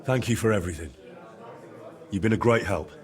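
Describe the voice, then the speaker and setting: An actor playing a practical, sceptical young male adventurer in a video game, down-to-earth, with a husky voice. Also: lowish voice, brisk, lightly acted. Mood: earnest. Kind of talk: video game character dialogue.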